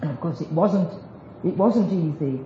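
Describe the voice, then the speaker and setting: A woman teaches a group in a relaxed, chatty way, tossing in self-deprecating jokes as she goes. The recording is roomy and echoey, with a dull, lo-fi sound and a faint hiss underneath. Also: fairly low pitch, normal speed. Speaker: speaker teaching a group about biblical Hebrew. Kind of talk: informal Bible teaching talk.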